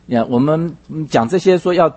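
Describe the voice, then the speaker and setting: A man interpreting a sermon phrase by phrase, husky and somewhat halting, rephrasing as he goes. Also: fairly high pitch, a little mellow.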